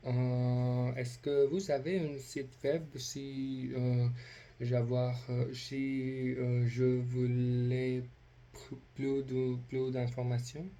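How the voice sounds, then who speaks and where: A man with a non-native accent practising a polite role-play inquiry as a language learner, speaking haltingly with many hesitations. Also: mid-range voice, mellow. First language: not French.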